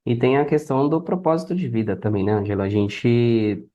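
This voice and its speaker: A man's voice: medium pitch, a little fast, muffled recording. A Brazilian man of about thirty telling his own story casually as a podcast guest.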